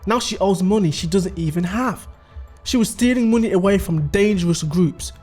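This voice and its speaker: A man's voice: high-pitched, moderate pace, darkish tone, soft background music. A man playing a scheming middle-aged father, explaining things conversationally in a dramatic audio story. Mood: grave, confiding. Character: scheming middle-aged father recounting his wife's crimes. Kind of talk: dramatic dialogue.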